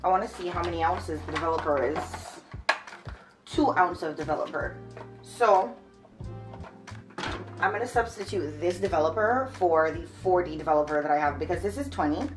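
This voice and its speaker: A woman's voice: fairly low pitch, normal speed, long pauses, music in the background. A woman in her twenties from Jamaica, chatting casually to camera in a how-to vlog, explaining each step as she works. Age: 20s. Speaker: vlogger. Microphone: camera on a shelf a few feet away.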